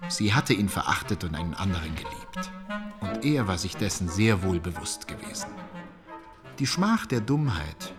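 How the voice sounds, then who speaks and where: Stern male narrator, period radio drama, low voice, judging tone, clear instrumental music behind. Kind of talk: literary audio drama narration.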